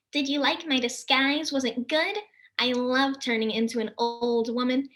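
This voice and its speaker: A woman voicing a playful female fairy disguised as an old woman, with drawn-out, sing-song, cheeky speech. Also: sits high, sharp tone, full character voice.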